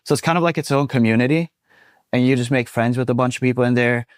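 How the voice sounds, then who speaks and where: A man's voice: mid-range voice, fast talker, clean tone, no echo, clean studio sound. A young man from Sweden, a guest speaking casually in an interview with many fillers. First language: Swedish. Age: 20s. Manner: relaxed and chatty, many fillers like "you know".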